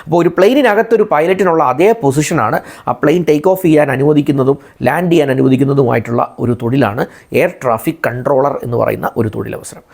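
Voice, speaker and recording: A man's voice: medium pitch, brisk, creaky, darkish tone. A man from India with a Malayalam accent in his English, hosting an informational explainer for viewers and repeating and rephrasing key points. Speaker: video channel host.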